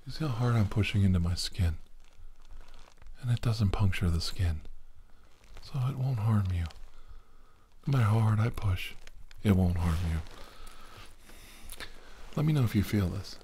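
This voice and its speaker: A middle-aged man playing a doctor in an ASMR medical roleplay, speaking deliberately in short, halting phrases with pauses. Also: lowish voice, animated, dark tone. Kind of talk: ASMR medical roleplay, soft instructions to the listener. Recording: clearly noisy room recording.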